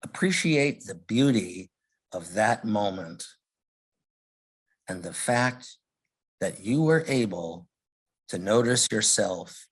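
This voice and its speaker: A man leading a guided meditation, speaking gently in short phrases with long pauses. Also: on the low side, slightly rough. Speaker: meditation leader.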